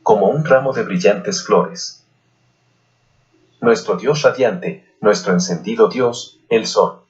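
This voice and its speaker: A man voicing a gracious, indulgent Aztec king in a gentle, courtly, measured manner, as in an audiobook. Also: on the low side, lo-fi sound. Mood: solemn reciting.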